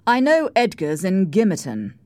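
A woman voicing a sly, menacing gentleman villain in an audiobook, assured and deliberate. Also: medium pitch, wildly swooping pitch, no room sound. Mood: defiant. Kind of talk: audiobook character voice.

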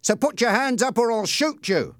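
A man's voice: very high-pitched, expressive, normal speed, dry recording, studio quality, voice pushed up. A man voicing a cruel, bossy boy bully who barks threatening orders in an audiobook story. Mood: menacing. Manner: shouting, threatening commands.

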